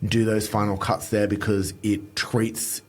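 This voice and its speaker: Aussie guy in his middle years, low-ish voice, a bit croaky and nasal sometimes, blunt, rattles off lists. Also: level tone. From Australia. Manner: blunt, emphatic, lists things in quick runs. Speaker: makeup artist, guest. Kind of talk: casual interview chat.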